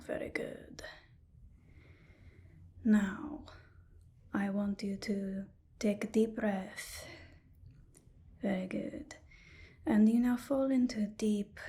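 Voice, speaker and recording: A woman playing a calmly commanding hypnotist in an evil brainwashing broadcast, speaking in soft, breathy, often whispered ASMR style with repetitive phrasing. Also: medium pitch.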